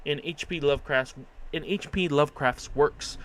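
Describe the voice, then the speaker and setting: A man's voice: on the high side, normal speed, a bit bright, a little hiss or hum. A man doing casual live commentary as he streams a game, with a jokey and sometimes profane way of talking. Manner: jokey, profane, echoes lines he has heard. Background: game music.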